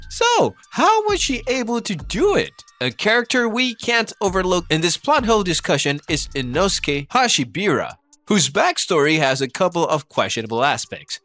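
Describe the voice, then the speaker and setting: Animated, bright-voiced male video narrator. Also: high voice, no echo. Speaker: narrator of an anime commentary video. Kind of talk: scripted video-essay narration. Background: background music.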